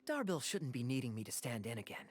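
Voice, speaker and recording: An actor playing a suave young male adventurer in a video game, with a smooth, teasing, theatrical delivery. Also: mid-range voice, very animated, fast, soft background music, natural voice. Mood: relaxed, playful.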